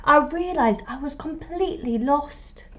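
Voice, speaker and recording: A woman reads a children's picture book in a fairly high voice. She takes her time, leaving deliberate pauses and stressing key words like a teacher at storytime. The recording sounds a little dull and lo-fi. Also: fairly bright tone. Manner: prompts young listeners with questions. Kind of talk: storytime read-aloud.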